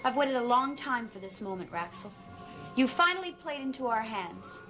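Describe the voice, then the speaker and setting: A young woman secretly standing against evil in a 1960s gothic soap. Her slightly high voice is measured and solemn, set against prominent dramatic music. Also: animated, a bit bright. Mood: confrontational. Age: young adult.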